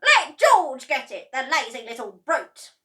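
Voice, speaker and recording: A woman voicing a bossy, enormous old grandmother who shouts shrilly in a storybook reading. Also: sing-song, slow pace, distant mic, heavily acted. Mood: furious. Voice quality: shrill, bellowing.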